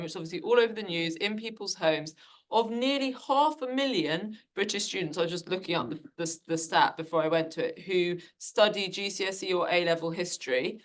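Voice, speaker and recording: A woman moderating a panel discussion, talking conversationally with frequent restarts. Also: fairly low pitch. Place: lecture hall.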